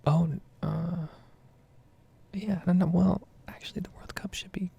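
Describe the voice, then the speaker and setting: A woman's voice: fairly low pitch, steady tone, unhurried, vocal fry, dark tone, muffled recording, slight background noise. A young American woman in her twenties giving a rambling, casually sweary ASMR gameplay commentary close to the microphone. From United States. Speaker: gaming video creator.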